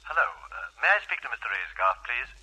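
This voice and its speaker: An actor playing a polite male insurance clerk over a telephone filter in a 1940s radio drama, with a husky voice. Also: medium pitch, big pitch swings, fast, very noisy. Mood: businesslike. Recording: old radio broadcast recording.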